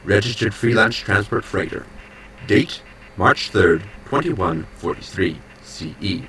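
Male actor as ship's computer, low-pitched, lively intonation, formal, clipped, sardonic, sci-fi audio drama. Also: unhurried, slight background noise. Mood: dryly scornful. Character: terse ship's computer log voice, dryly scornful. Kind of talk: scripted audio drama, log readout.

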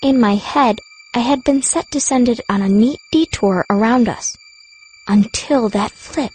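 High-pitched woman narrating a fantasy audiobook. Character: fierce young girl stone mage telling her story. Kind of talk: first-person fantasy story narration.

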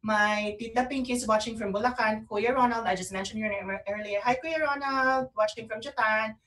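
A woman with a fairly high voice chats as co-host of a live show. She sounds a little far from the mic on a video call. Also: fairly quick, a bit bright. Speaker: online radio show co-host. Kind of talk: casual chat, greeting viewers. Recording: distant video call microphone.

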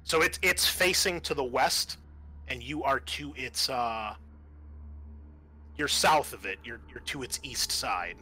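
Guy game mastering a tabletop rpg, bright edgy voice, casual halting narration. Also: mid-range voice, breathy edge. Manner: hesitant, improvised, informal descriptions.

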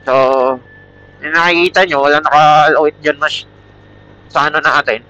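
A man's voice: a little high, steady tone, normal speed, bright, forceful tone. A man with a Filipino accent chatting casually as a motovlogger while riding, recorded through a helmet intercom mic. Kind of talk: casual rambling vlog talk with product pitch. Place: outdoors, riding a motorcycle at night. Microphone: Bluetooth helmet intercom mic. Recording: muffled helmet intercom mic, band-limited.

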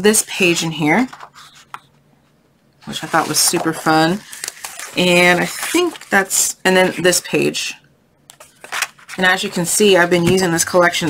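A woman's voice: on the low side, fairly bright tone, slight background noise. A woman hosting a casual craft tutorial, chatty and thinking aloud, with a voice congested from a sinus infection. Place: indoors at a craft table.

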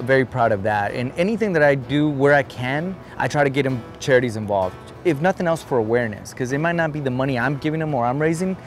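Earnest man chatting on an outdoor patio. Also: mid-range voice. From Guatemala. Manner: earnest, conversational, says "man" often. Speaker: interviewee, artist. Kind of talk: casual interview talk.

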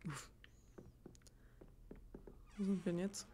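A woman's voice: on the low side, takes it slowly, dark tone, slight background noise. A woman giving casual let's play commentary, thinking aloud and muttering to herself in a puzzled way as she works things out. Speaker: let's player, channel host. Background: game music.

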